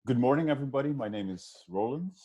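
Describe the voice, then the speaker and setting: A man's voice: medium pitch, lively intonation, quick pace, warm tone. A man from Belgium speaking on a video-call webinar panel, hesitant, with many ums and restarts. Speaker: investment fund co-founder.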